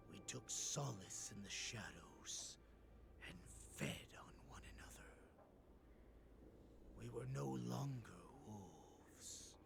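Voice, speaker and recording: A man voicing a fierce, devout wolfish beast warrior in a video game, grave and declamatory, with a gravelly, breathy voice. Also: medium pitch, a little hiss or hum, full character voice. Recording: music behind the voice.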